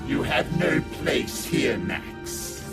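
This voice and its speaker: A man playing a menacing, defiant villain in an audio drama, with a drawn-out theatrical delivery. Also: a little high, animated, full character voice. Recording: loud music under the voice.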